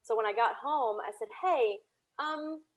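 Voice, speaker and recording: A woman from Tennessee speaking as an interview guest, telling anecdotes in a chatty, conversational and animated way. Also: fairly high pitch, a little slow. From Tennessee, United States. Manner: chatty, animated anecdotes with laughter and reenacted dialogue. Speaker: interview guest, audio drama creator.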